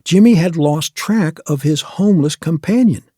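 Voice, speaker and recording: A man narrating a scripted radio play in a measured storytelling manner. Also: on the high side, mellow, no echo, clean studio sound.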